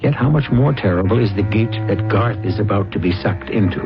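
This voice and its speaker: A man's voice: on the low side, fairly quick, lightly acted. A man performing as the grave, theatrical host and narrator of a radio mystery drama. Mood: grave, ominous. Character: grave storyteller host of eerie mystery tales. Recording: muffled old radio broadcast, music underneath.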